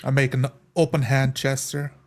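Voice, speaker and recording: A man's voice: mid-range voice, little pitch movement, normal speed. A man playing a tabletop game, narrating his character's actions in the first person. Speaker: tabletop roleplay player.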